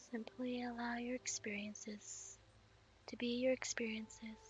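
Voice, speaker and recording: Slow, soft-spoken woman meditation guide. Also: fairly high pitch. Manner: soft, slow, whispery delivery.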